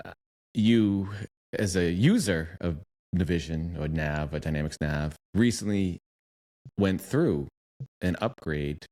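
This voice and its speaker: A man's voice: low voice, slow pace, darkish tone. A man co-hosting a podcast, asking friendly, long, run-on questions and laughing easily. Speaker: podcast co-host. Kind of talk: casual podcast interview.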